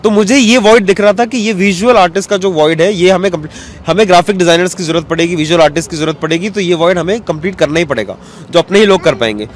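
High-voiced man explaining his art. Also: fast talker. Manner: reflective, explains at length mixing English terms. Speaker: cartoonist guest. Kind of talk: sit-down interview answers. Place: outdoors in an open courtyard. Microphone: handheld mic held out by interviewer. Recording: faint outdoor street noise.

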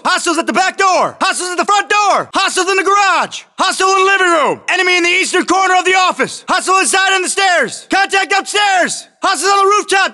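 An actor playing an urgent American special forces soldier in a military video game, barking clipped combat callouts with an American accent. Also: male voice, extremely high pitch, pushed and bright, heavily acted. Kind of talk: military shooter battle chatter, shouted callouts.

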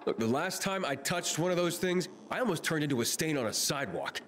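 An actor playing a wry, impatient young adventurer in a video game, blunt and sardonic. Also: male voice, fairly high pitch, lively intonation, brisk, fairly bright tone, a little hiss or hum. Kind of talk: video game dialogue acting.

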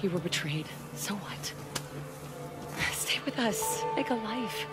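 A woman's voice: medium pitch, lively intonation, slow, loud music behind the voice. A woman playing an earnest, persuasive wasteland survivor in a video game drama. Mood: pleading, earnest.

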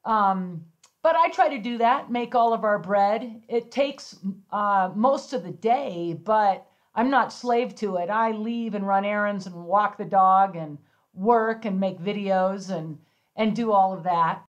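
A middle-aged woman giving an energetic, upbeat home-video ski lesson in her kitchen, demonstrating as she talks. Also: mid-range voice, unhurried, muffled recording. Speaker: ski instructor. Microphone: far camera. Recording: echoey kitchen from far off.

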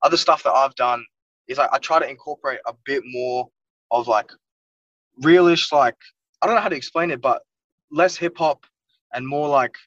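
A man's voice: medium pitch, normal speed, pauses often, fairly bright tone, no echo. Rambling young man on a lo-fi call. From Wales. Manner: rambling, heavy use of "like" and "you know what I mean". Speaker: music producer guest. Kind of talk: casual interview chat. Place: inside a car. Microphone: phone or laptop camera on a video call. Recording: dull lo-fi video call audio from a distance.

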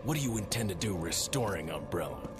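A man's voice: low-pitched, expressive, fairly quick. An American man playing an earnest, defiant young agent in a video game, terse and confrontational. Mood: wary, defiant. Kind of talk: video game cutscene acting. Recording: music under the voice.

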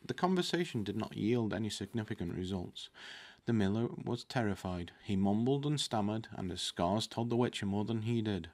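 A man's voice: lowish voice, lively intonation, normal speed, a little mellow. A man in his thirties reading a fantasy novel aloud, now and then stumbling on a word and correcting himself. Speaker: book reader. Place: indoor room with curtains, at a desk. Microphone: desktop microphone close in front.

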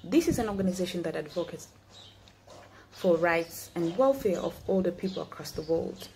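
A woman's voice: on the low side, animated, a little mellow, slight background noise. A young woman in her twenties from Tanzania delivers a prepared, earnest speech to a youth forum over a video call. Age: 20s. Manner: measured, earnest, read-from-notes delivery. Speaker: guest speaker. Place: indoor room. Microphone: laptop webcam, video call.

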